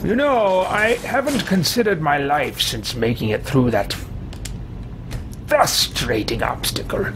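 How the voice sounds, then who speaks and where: Man acting out a pompous sorcerer, theatrical fantasy game roleplay, slightly high pitch, haughty archaic phrasing, clear background noise. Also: animated. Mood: grand, gloating. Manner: pompous, theatrical, archaic wording, slow and deliberate. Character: pompous, haughty male sorcerer, self-styled king. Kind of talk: in-character roleplay video game let's play. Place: small home room with shelves, indoors.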